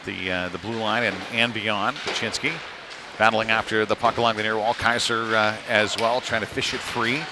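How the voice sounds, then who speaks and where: A man with a fairly low voice commentates live hockey from a rink. His delivery is loose and conversational, often hesitating partway through a call. Clear ambient noise from the venue runs behind his voice. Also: flat delivery, normal speed. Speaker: play-by-play commentator. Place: ice rink.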